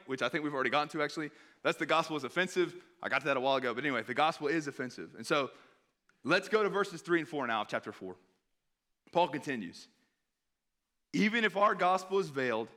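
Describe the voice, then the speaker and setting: A hoarse man preaching a sermon in an earnest tone, pausing to read scripture aloud. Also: fairly high pitch, fairly bright tone. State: hoarse, clearing throat.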